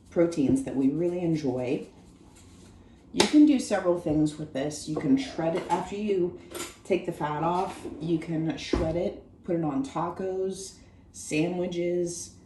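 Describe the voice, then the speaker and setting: A low-pitched, hoarse woman talks viewers through a recipe in a friendly, chatty way. Her voice sounds mellow and worn. The echoey kitchen carries a faint hiss behind her. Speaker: cooking show host. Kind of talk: casual cooking demonstration. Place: home kitchen.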